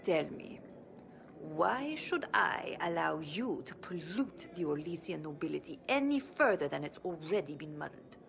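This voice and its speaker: An actress playing a snobbish, imperious older minister in a video game, with a creaky voice and a disdainful, deliberate, condescending way of talking. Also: female voice, deep voice, big pitch swings, a bit bright, a little hiss or hum, exaggerated voice. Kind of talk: video game cutscene acting.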